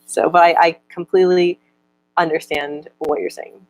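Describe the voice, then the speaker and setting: A woman's voice: on the low side, little pitch movement, no echo, rough recording. A young woman in casual chat, stuttering often with repeated words and broken-off syllables as she answers viewer comments. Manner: reads out viewer comments slower.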